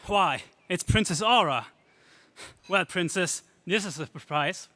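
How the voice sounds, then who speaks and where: An actor playing a brave, decisive young hero in an earnest, stagey 1930s space radio serial style. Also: male voice, sits high, expressive, unhurried, pushed and bright, no echo, exaggerated voice. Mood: surprised, cool.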